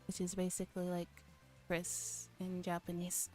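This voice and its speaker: A woman with a mellow, relaxed voice streams a visual novel as ASMR. She reads dialogue softly and drops into casual commentary. Quiet music plays behind her. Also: on the low side, measured pace.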